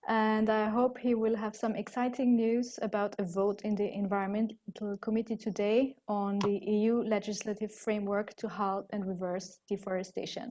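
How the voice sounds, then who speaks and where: Even-toned woman moderating online. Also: mid-range voice, moderate pace, hardly any pauses. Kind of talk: formal introduction of speakers. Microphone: earphone headset on video call. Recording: dull lo-fi video call audio.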